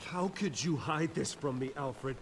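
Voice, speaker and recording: An actor playing a hurt, angry young billionaire in a video game, speaking in a demanding, confrontational way. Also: male voice, on the high side, expressive, a little slow. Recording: game audio with rain noise.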